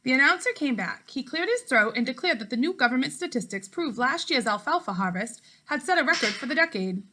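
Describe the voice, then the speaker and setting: A woman with a bright, slightly high voice reads aloud as a teacher would for schoolchildren. Her delivery is clear and expressive, aimed straight at her young listeners. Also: a little fast. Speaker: teacher reading a book aloud to fifth graders. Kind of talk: read-aloud storytelling for children.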